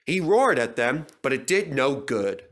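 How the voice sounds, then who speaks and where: A man's voice: mid-range voice, very animated, unhurried, fairly bright tone. A man narrating a children's story as a scripted reading.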